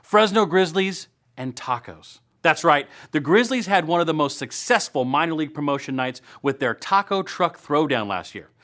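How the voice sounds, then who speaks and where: A man's voice: fairly high pitch, animated, moderate pace, hardly any pauses, a bit bright. A man hosting a local web series, reading upbeat scripted news and event segments in a playful, tongue-in-cheek way.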